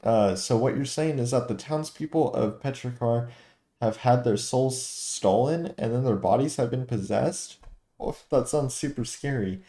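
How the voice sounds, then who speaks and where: A man's voice: medium pitch, measured pace, warm tone, natural voice. A man voicing a small, childish female fairy companion from a video game, timid and chatty, speaking of herself in the third person. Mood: worried. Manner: talks of self in third person.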